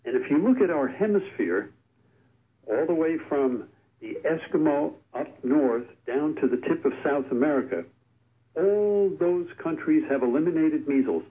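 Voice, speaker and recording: A man's voice: on the high side, animated. A man explaining steadily as a medical expert calling into a radio show, heard over the telephone. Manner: measured, explanatory. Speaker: guest vaccine expert on phone. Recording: tinny phone line on radio.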